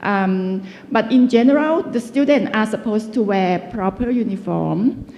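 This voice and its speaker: Woman guest lecturing, mellow voice, chatty and searching for words, big echoey hall, faint room noise. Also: mid-range voice, measured pace. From Thailand. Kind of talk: casual lecturing. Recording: long reverb of a lecture hall.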